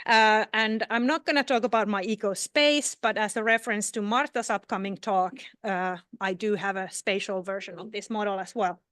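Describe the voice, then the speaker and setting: A woman's voice: medium pitch, steady tone, normal speed, hardly any pauses, fairly bright tone, no room sound, studio quality. A woman from Finland giving a scientific conference talk, hesitating often with fillers and restarts. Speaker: conference speaker. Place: conference hall. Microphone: no microphone.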